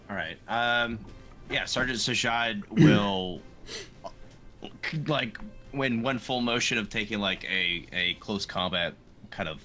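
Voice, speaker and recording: A man plays a tabletop game online, talking casually. His voice is very low with a sharp, bright edge. Music sits behind him. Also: slow pace, long pauses.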